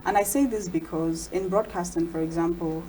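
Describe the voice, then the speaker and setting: A woman's voice: lowish voice, fairly even intonation, a little fast, warm tone, slight background noise, rough recording. A Nigerian woman whose first language is Igbo, talking reflectively in a studio interview and often saying "you know". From southeast Nigeria. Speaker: interview guest, cultural storyteller. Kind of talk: casual interview talk.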